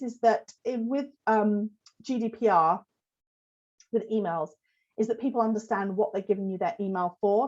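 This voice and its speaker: A woman hosts a live webinar, chatty and loose with plenty of ums, her voice a little croaky from a cold. Her pitch sits in a middling range. The audio is slightly dull and lo-fi. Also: a little mellow. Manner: conversational, many fillers, answers chat questions. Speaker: host giving a business talk.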